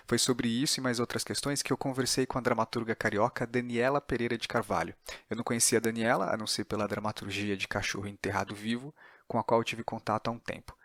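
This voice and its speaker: Male podcast host, Brazilian accent, mid-pitched voice, very dry recording, conversational interview delivery. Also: fairly bright tone, no room sound.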